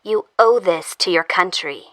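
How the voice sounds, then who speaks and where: A woman's voice: fairly low pitch, a little slow, very noisy, close to an everyday voice. An actress playing a stern female government interrogator in an audio drama, speaking in a formal, curt and insistent way. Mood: insistent, threatening. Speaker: voice actor. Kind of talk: audio fiction drama dialogue.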